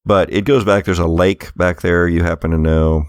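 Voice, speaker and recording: A man's voice: low-pitched, fairly even intonation, moderate pace, clean tone, dry recording, studio quality. A man acting as game master, improvising scene descriptions for a tabletop game in a conversational, explanatory way.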